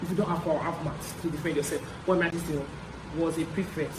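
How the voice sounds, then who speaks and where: A young adult man with a Nigerian accent tells stories casually, in an animated way, repeating phrases for emphasis as a vlogger. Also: a little high, fast talker. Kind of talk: casual story-time chat. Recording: roomy echo, clear background noise.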